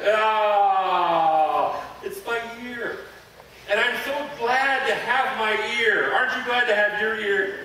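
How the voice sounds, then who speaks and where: A man plays an excitable ancient servant who can barely contain himself, chattering and breathless. The voice sits high and swings widely in pitch. It is caught from far off in a very echoey room. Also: noisy background, full character voice. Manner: bubbly, breathless, lots of laughter and exclamations. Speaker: actor in a character monologue. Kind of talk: first-person dramatic monologue.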